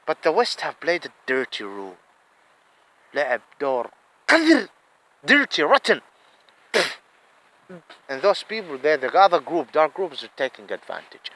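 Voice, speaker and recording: Fired-up Arabic-accented man, lively pitch. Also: moderate pace, pauses often, rough recording. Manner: heated, repeats words, switches between English and Arabic. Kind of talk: impassioned solo monologue.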